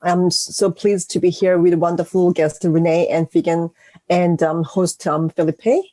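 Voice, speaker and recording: A woman talks as a guest on a panel, a little hesitantly. Her voice is fairly low and soft-edged, with a dark, mellow tone. The sound is very dry. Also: flat delivery, a little slow, no echo. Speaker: panel guest, analytics executive. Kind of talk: conversational panel greeting.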